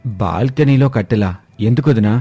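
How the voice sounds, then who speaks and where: A man's voice: medium pitch, moderate pace, dark tone, husky, no echo, faint music behind. A man voicing a hungry, puzzled family man in a cartoon story, complaining and questioning.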